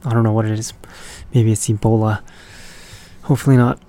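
A man hosting a punk rock radio show in casual, laid-back, rambling talk, his voice raspy and congested from a cold. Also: fairly low pitch, level tone, a little fast, warm tone.

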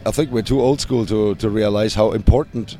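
A man with a German accent, a punk band singer, answering interview questions hesitantly with frequent restarts and soft laughs. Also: on the low side, fairly even intonation, a little fast, soft background music.

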